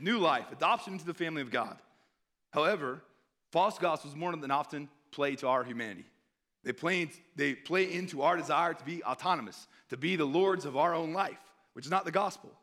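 A hoarse man preaching a sermon in an earnest tone, pausing to read scripture aloud. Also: fairly high pitch, a bit bright. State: hoarse, clearing throat.

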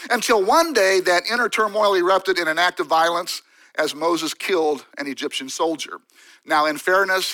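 Guy preaching a sermon, high bright voice, lively storyteller, keeps asking the room to think about it. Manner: animated storytelling preacher, rhetorical asides to the congregation. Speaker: pastor.

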